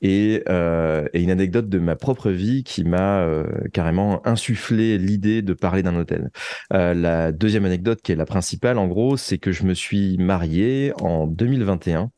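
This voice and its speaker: A man's voice: deep voice, normal speed, dark tone, no echo. A man telling stories conversationally as an interview guest, hesitant and digressive, often rephrasing himself. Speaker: interview guest, author of audio fiction.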